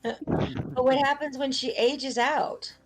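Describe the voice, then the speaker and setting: A woman's voice: high-pitched, steady tone, takes it slowly, poor audio. A woman co-hosting a livestream show, bantering casually on an online group call. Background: music.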